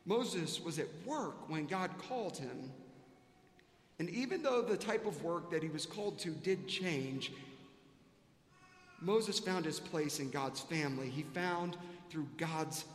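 Male pastor, high-pitched, earnest and repetitive in sermon delivery, recorded in a very reverberant church. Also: animated, takes it slowly. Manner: rhetorical repetition, earnest questioning. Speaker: pastor preaching to a congregation. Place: large reverberant church sanctuary. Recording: echoey hall with long reverb.

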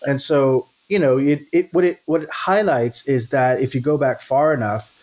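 A man's voice: medium pitch, normal speed, a little mellow. A man from Texas in casual conversation, talking thoughtfully and checking in with "right?" as he goes. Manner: thoughtful, frequent restarts and "right?".

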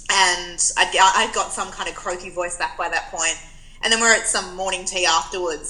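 A woman's voice: fairly low pitch, bright, forceful tone, rough recording. A woman, giving reflective, story-filled answers as an interview guest. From Australia. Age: 20s. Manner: reflective, storytelling, frequent restarts.

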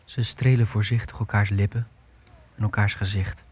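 A man narrating stage directions in a radio play, detached and scripted, with a husky low voice. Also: level tone, moderate pace, darkish tone.

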